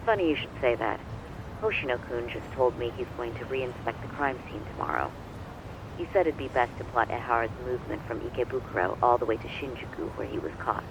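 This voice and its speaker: An actor playing a courteous male legal professional in a video game, speaking politely and in a measured way. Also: medium pitch, noisy background, lightly acted. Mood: helpful.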